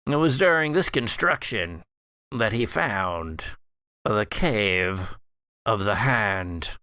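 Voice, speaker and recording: A man voicing a sardonic undead patriarch in a gothic tabletop game, theatrical and drawn-out, taunting as he tells his tale. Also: on the low side, lively intonation, pushed and bright, smooth, no room sound, full character voice. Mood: wry, gloating. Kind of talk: in-character tabletop roleplay.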